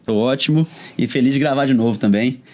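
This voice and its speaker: A man's voice: mid-range voice, brisk, a little mellow. A man hosting a podcast, chatting and laughing easily. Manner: friendly, laughs often, reads ad. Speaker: podcast host. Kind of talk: casual podcast chat.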